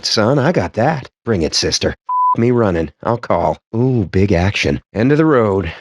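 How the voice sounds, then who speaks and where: Casting: sardonic nerdy gamer in a poker video game, lowish voice, swooping intonation, pompous and wordy, very dry close sound. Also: male voice, sing-song, unhurried, husky, dry recording, muffled recording, exaggerated voice. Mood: mock bravado. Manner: wry, pompous wordy delivery with dramatic emphasis. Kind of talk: video game character voice lines.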